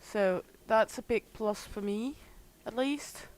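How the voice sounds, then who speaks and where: A woman with a slightly hoarse voice and a Danish mother tongue, casually commenting as she plays a game on a livestream and greeting her viewers by name. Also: a little high, poor audio. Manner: unhurried, chatty, greets viewers warmly by name. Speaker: gaming streamer.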